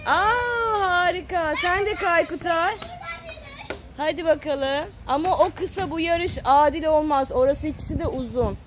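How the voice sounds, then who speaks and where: A woman with a high voice films a casual family vlog on her phone. She speaks slowly, with a sing-song lilt when calling out to children. Clear outdoor noise sits behind her at the playground. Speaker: parent filming a family vlog. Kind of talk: casual chat to camera and children. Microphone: handheld phone camera. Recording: noisy outdoor phone recording.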